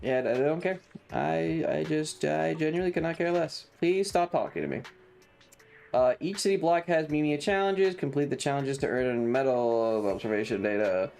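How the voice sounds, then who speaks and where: A man's voice: on the high side, moderate pace, creaky, a little mellow, faint music behind. A man live streaming a video game in a casual, chatty way, sarcastic and exasperated, often reading game text in a dismissive manner.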